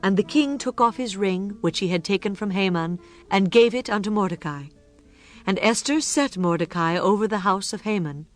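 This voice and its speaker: A woman's voice: medium pitch, normal speed. Woman narrating scripture over plucked music.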